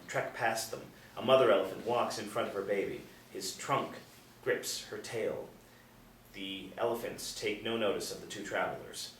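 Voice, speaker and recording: Lowish-voiced man narrating in an echoey room. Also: a bit bright. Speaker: narrator reading stage directions. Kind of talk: scripted narration at a table reading. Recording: roomy, distant mic, faint noise.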